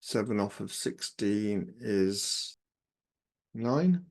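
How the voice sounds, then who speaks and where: Croaky man explaining maths. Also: on the low side, drawn-out words. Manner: steady step-by-step, talks himself through sums. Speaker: maths tutor. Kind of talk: exam walkthrough, working problems aloud.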